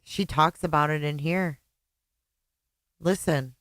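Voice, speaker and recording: A woman giving casual reaction commentary on old interview clips, chatty with quick asides. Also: low voice, a bit bright, dry recording, clean studio sound.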